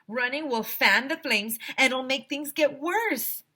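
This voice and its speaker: A woman voicing a brisk, urgent woman instructor who drills commands emphatically, in a children's storybook reading. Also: high voice, pushed and bright, slightly rough, voice pushed up, full character voice. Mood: firm, instructive.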